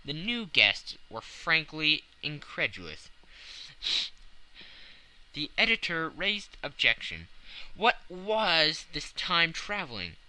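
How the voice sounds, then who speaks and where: Very bright, animated male audiobook narrator. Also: fairly high pitch, slow, rough recording. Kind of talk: dramatic scripted narration of classic fiction.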